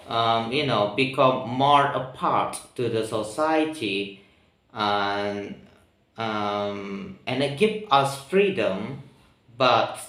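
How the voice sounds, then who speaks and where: A man with an Indonesian accent, speaking English as a second language, talking slowly and haltingly, searching for words with many pauses and ums. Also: medium pitch, a bit bright. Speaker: vlogger practicing spoken English. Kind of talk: reflective solo monologue. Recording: roomy echo, mic at a distance.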